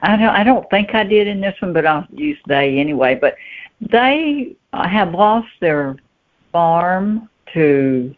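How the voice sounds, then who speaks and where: A woman's voice: on the low side, big pitch swings, takes it slowly. Woman on a thin phone line. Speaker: guest novelist. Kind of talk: conversational interview answers.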